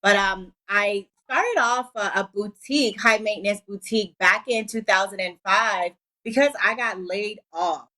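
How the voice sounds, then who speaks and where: A woman's voice: medium pitch, unhurried, few pauses, bright, forceful tone, rough recording. Woman from Liberia giving business tips. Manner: upbeat, gives advice in clear numbered tips. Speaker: entrepreneur guest. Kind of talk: TV talk show interview.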